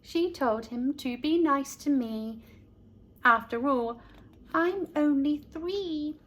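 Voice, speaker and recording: A woman narrating a picture book for young children in an expressive, deliberate storytelling manner. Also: high-pitched.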